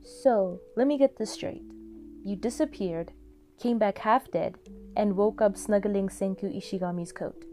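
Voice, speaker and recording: A woman voicing a brash young female friend who teases gleefully and theatrically, with loud mocking outbursts. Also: medium pitch, measured pace, lightly acted. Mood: teasing disbelief. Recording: music under the voice.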